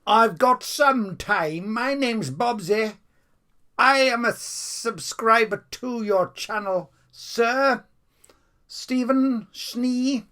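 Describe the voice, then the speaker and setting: A man's voice: very high-pitched, very slow, pushed and bright. A Welsh man rambling off the cuff to the viewer about his favourite music, chatty and theatrical, at times stepping back from the mic. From Wales. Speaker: music fan vlogger.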